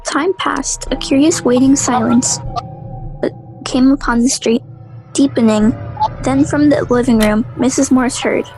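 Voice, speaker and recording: High-pitched woman narrating a drama. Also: fairly even intonation, measured pace, a little mellow, faint music behind, poor audio. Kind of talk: dramatic scripted story narration.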